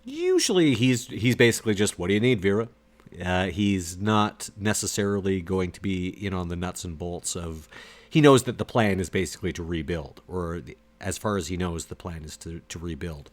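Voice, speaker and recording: Very low-voiced man narrating his game character. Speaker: tabletop game player.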